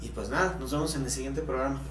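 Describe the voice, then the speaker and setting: A man's voice: mid-range voice, fast talker. A man with a Mexican accent co-hosting a podcast in a casual, conversational way. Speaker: podcast co-host. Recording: echoey room, faint background hum.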